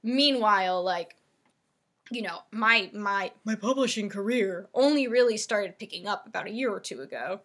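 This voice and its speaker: Bright-voiced chatty woman podcaster. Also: mid-range voice, lively intonation, moderate pace. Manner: rambling, filler-heavy, lots of "like". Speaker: podcast co-host. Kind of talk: casual podcast chat.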